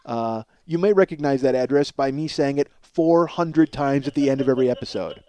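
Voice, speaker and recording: A man in his forties hosting a comedy podcast, rambling in an animated, profane monologue and often cutting himself off. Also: medium pitch, brisk. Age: 40s. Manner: animated, emphatic, self-interrupting riffing.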